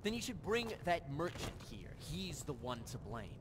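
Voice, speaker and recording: An actor playing an indignant wood elf bard in a video game, expressive and protesting. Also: male voice, a little high, moderate pace, background noise. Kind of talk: video game mod voice acting.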